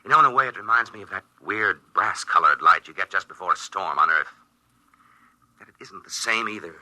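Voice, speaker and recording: An actor playing a thoughtful male astronaut who narrates his moon landing in a 1950s radio drama, earnest and halting. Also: deep voice, sharp tone, no echo, exaggerated voice, rough recording. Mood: musing. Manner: earnest, reflective, halting with small stammers. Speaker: narrator and crewman.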